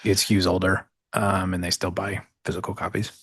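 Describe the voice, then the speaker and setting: A man's voice: sits low, level tone, moderate pace, fairly bright tone, breathy edge, dry recording, clean studio sound. A man co-hosting a podcast, chatting casually with short wry, teasing remarks. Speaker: podcast co-host. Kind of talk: casual chat.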